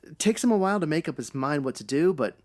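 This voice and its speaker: A plain-talking man acted out as a witness in a 1950s radio detective story. He is folksy, slangy and a little hesitant, with lively rises and falls in pitch. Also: fast talker, close to an everyday voice. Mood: confiding. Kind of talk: old-time radio detective parody.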